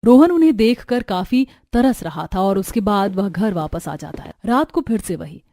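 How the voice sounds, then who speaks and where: A woman's voice: mid-range voice, animated, normal speed, warm tone, dry recording, clean studio sound, faint music behind. A woman reading scripted story narration as the narrator.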